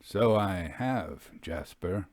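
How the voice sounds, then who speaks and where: Guy as a scholarly ghost investigator in a storybook, earnest, measured, kinda pedantic, dry close sound. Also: deep voice, expressive, darkish tone, no room sound, pitched below the performer's own voice, full character voice. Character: Harry Escott, earnest, learned occult investigator, man. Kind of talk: storybook character dialogue.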